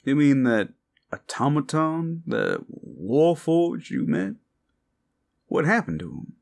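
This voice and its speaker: A man's voice: on the high side, big pitch swings, takes it slowly, creaky, exaggerated voice. A man voicing a sceptical fantasy companion with a wry, questioning manner in an improvised ASMR roleplay.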